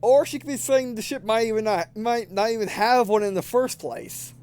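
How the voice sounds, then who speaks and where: A man doing casual let's play commentary, reading game dialogue aloud and thinking out loud, often repeating and restarting his phrases. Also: high-pitched, moderate pace, clear, resonant tone. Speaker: gameplay video host.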